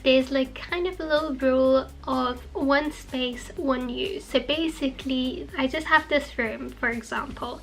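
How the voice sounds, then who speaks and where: A young woman with a high voice talks casually to her viewers and leans on fillers like "basically." Her voice is slightly hoarse from a cold. Faint music plays underneath. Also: a bit bright. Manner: chatty, apologetic, uses lots of fillers like basically. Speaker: vlogger. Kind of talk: casual talk to camera.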